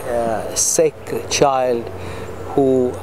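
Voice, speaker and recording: A middle-aged man from Tanzania speaks as an interviewee, reflecting on his life and work. His delivery is halting and deliberate, with an earnest tone and a medium-pitched voice. Steady urban noise is clearly audible behind him. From Tanzania, East Africa. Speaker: interviewee, pediatrician and medical association president. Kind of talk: interview answers, reflective personal account.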